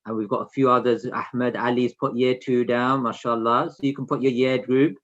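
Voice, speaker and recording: A man with a mid-pitched voice hosts an online session for children. He speaks informally, addressing attendees directly with instructions and questions. The video-call sound is a little dull and lo-fi. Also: flat delivery, moderate pace, fairly bright tone.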